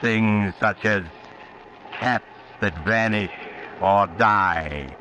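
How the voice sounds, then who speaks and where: An actor playing a sinister, teasing traveler who hosts horror tales on old-time radio, in an ominous, theatrical manner. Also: male voice, lowish voice, drawn-out words, sharp tone, muffled recording, exaggerated voice. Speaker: host and narrator. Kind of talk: 1940s radio horror narration. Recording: noisy old radio recording.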